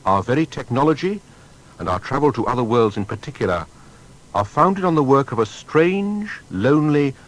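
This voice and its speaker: A man narrating a scripted biography in a measured, formal and dramatic way. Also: big pitch swings, rough recording. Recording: faint background rumble and noise.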